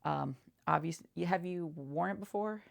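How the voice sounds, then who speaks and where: A woman speaking softly and casually in an ASMR roleplay as a seamstress, halting and often repeating small reassurances in a murmur. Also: lowish voice, vocal fry. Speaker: ASMR creator playing a seamstress. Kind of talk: soft-spoken ASMR roleplay.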